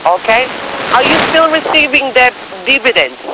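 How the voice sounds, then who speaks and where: A man with non-native English phrasing giving a persistent, partly scripted telephone sales pitch, often checking that the listener follows. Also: big pitch swings, moderate pace. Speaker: cold-call share scammer posing as broker. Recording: noisy phone call with crackly hiss.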